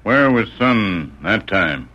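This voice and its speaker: Slow stoic frontier companion, broken English. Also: male voice, on the low side, very animated, full character voice. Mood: grave. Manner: halting broken English with dropped words. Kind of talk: vintage radio western acting. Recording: muffled, noisy old radio recording.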